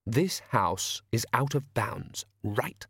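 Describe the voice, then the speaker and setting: A man's voice: mid-range voice, expressive, slow pace, gravelly, a bit bright, slightly rough, no echo, full character voice. Man voicing a firm, dry young governess. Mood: stern. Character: brisk, firm, menacing young governess woman. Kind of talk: audiobook character voice.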